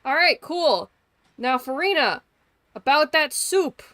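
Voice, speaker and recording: A woman's voice: high-pitched, animated, takes it slowly, fairly bright tone. A woman giving casual, sweary, blunt and joking live commentary as a game streamer.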